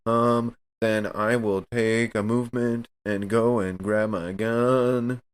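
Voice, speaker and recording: A man's voice: fairly low pitch, fairly even intonation, slow pace, clear, resonant tone. A man playing a tabletop roleplaying game, casually describing his character's actions and dice results.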